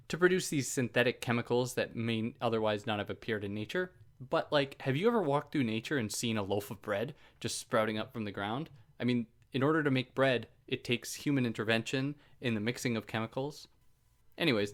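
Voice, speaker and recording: A man's voice: medium pitch, a bit bright. Male podcast host, conversational lecture delivery, easygoing and self-deprecating, prone to tangents. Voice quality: soothing voice. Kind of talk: casual explanatory lecture.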